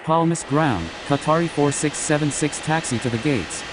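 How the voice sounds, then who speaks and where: A man's voice: normal speed, music in the background. A man speaking as an airline pilot in scripted air traffic phraseology. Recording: loud noisy rumble and hiss.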